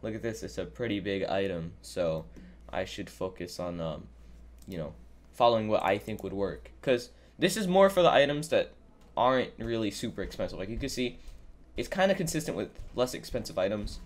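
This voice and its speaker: Low-pitched man, rambling casual tutorial, slightly sick. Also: expressive, normal speed, slight background noise. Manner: rambling, thinking aloud. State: sick with a cold. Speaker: YouTuber giving a trading tutorial. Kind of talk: casual improvised screen-capture commentary. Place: indoors at a computer, voice-over.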